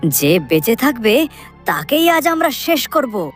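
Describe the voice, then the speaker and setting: A woman voicing an excitable, brave young village man in a cartoon story, speaking urgently and emphatically. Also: on the high side, slow, faint music behind. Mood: urgent, excited.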